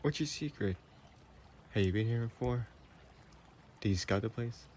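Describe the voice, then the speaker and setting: A man playing an affectionate, playful vampire boyfriend in an intimate roleplay audio, chatting in a gentle, teasing way. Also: mid-range voice, moderate pace, a little hiss or hum, lightly acted. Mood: tender, caring.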